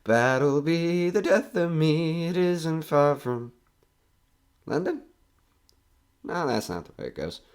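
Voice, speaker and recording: A man's voice: on the high side, animated, slow pace, long pauses, clean tone. A man playing a nervous, skeptical broadcaster alone in a haunted house in an audio horror drama, rambling and joking anxiously. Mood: unravelling, giddy.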